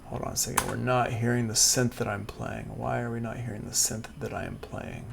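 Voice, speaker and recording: A man teaching music production in a live software tutorial, talking casually and thinking aloud as he works. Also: mid-range voice, flat delivery, brisk, creaky, very noisy. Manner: casual, informal. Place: indoors, livestream class.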